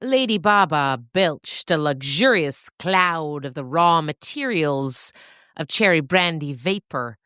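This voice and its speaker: A woman storyteller reads comic scripted narration. Her delivery is slow and very lively in pitch, in a dry recording with little room sound. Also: clear, resonant tone, dry recording.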